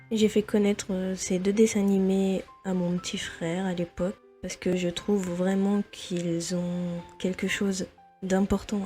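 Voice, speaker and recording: A woman's voice: medium pitch, warm tone. A young adult woman presenting a semi-scripted video commentary as its host.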